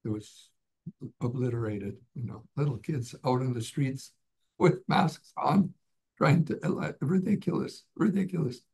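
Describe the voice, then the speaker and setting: A man's voice: medium pitch, unhurried, gravelly, a little mellow, slightly rough. A middle-aged man from Canada, a guest author talking in an office over a video call, with many fillers and restarts. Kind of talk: casual interview on a video call. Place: office indoors. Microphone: webcam on a video call.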